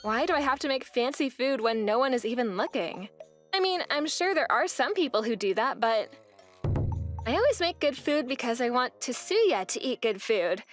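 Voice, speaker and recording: A wronged young wife tells her story in a manga dub, acted by a woman. Her voice is very high and swings with indignation and resolve. Light music plays behind her. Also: normal speed. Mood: indignant. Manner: expressive, indignant. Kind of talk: scripted manga dub narration.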